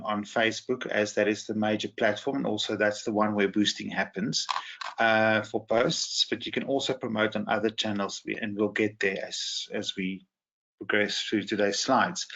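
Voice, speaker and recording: A man with a croaky voice presenting a social media training webinar, hesitant with many fillers. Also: on the low side. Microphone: video call. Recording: muffled video call audio.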